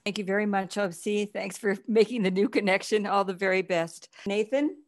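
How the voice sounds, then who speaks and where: A woman moderates an online session over a video call, politely handing over to each speaker. Her voice is at an ordinary middle pitch. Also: moderate pace. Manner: polite, welcoming. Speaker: host, moderator.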